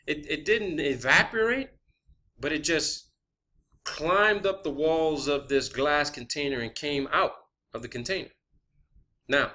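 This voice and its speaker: Bright-voiced man explaining emphatically. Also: mid-range voice. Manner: emphatic, repeats phrases for stress. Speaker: video channel host. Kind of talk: unscripted explanatory monologue.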